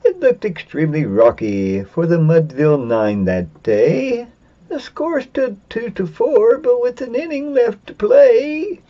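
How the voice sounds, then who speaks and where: A man giving a semi-dramatic reading of a narrative poem, theatrical and drawn-out, with big swings for suspense and excitement. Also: high voice. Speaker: poetry reader. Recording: dull, lo-fi sound.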